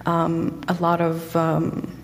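A young woman from Egypt giving a personal testimony as a guest speaker, halting often with ums and pauses. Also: on the low side, completely flat delivery, long reverb tail, a little hiss or hum. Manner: hesitant, many ums and pauses. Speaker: guest speaker sharing her story.

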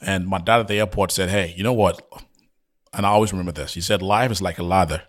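Very fast, deep-voiced Ghanaian man chatting. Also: fairly even intonation. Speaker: podcast guest, oil and gas professional. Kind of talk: casual chat, personal reminiscence.

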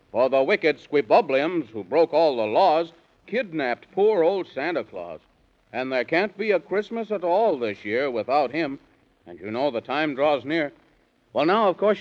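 Animated, high-pitched male storyteller, dull old recording. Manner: theatrical, sing-song rhyming delivery. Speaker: narrator of a children's radio serial. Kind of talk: 1930s radio storytelling in rhyme. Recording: dull, lo-fi 1930s radio recording.

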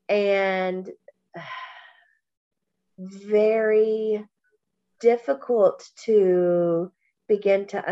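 A woman from Kentucky co-hosting a podcast, talking slowly and reflectively, with a stuffy nose from allergies. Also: mid-range voice, muffled recording. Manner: slow, warm, reflective, with many pauses and fillers. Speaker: podcast co-host. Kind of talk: casual podcast chat.

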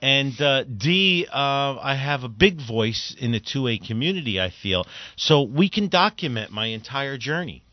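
Guy hosting talk radio, joking around loud, dull dry lo-fi sound. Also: medium pitch, lively intonation, a little slow, hardly any pauses, no room sound. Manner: joking, loud, laughs a lot. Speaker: radio show host. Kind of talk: casual talk radio banter.

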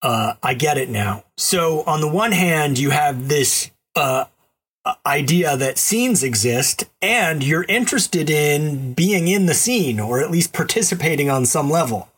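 Husky-voiced man in wry casual chat. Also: fairly high pitch, animated, measured pace, a bit bright. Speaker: podcast co-host.

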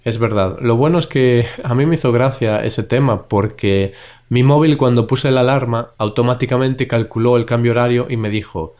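A man's voice: medium pitch, normal speed. A man from with a stuffy nose from a cold, hosting a casual podcast for learners over a video call. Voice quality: nasal. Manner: relaxed, chatty, laughs often. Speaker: podcast host. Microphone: recorded over a video call.

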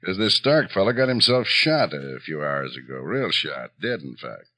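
Folksy, swooping small-town police chief. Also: male voice, low-pitched, sing-song, moderate pace, bright, forceful tone, heavily acted. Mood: wry, suspicious. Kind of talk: 1950s radio drama acting.

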